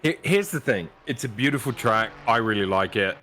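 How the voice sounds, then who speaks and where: A man commentating a live sim race with relaxed, self-deprecating banter. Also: fairly low pitch, moderate pace, slight background noise.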